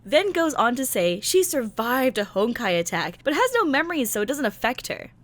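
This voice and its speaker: A woman's voice: fairly high pitch, sing-song, normal speed. A woman giving a casual, slangy recap commentary as a content creator, with sarcastic, exasperated asides and theatrical emphasis. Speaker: content creator reviewing a visual novel.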